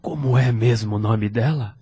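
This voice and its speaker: A man's voice: medium pitch, moderate pace, mellow, breathy edge. A man playing a devoted, grieving widower in an audio drama, earnest and emotional in his delivery. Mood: subdued sorrow. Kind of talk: melodramatic audio drama acting.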